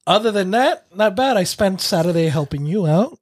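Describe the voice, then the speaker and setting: Kinda hoarse guy with a high voice, laid back, dry close mic. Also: expressive, normal speed, dry recording. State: slightly hoarse. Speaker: podcast co-host. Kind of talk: casual podcast chat.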